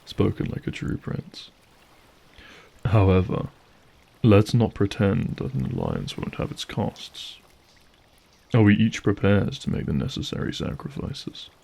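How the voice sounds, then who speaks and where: Casting: male actor as courtly, ruthless vampire lord for audio drama; heavy gravel, dark husky tone, veiled threat. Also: lowish voice, very animated, full character voice. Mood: courtly, pressing. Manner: formal, silky, measured politeness with veiled threat. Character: suave, menacing vampire brood leader, courtly and ruthless.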